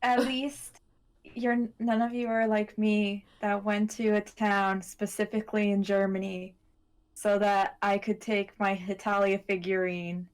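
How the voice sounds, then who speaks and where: Unhurried woman in casual anime chat. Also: medium pitch, little pitch movement.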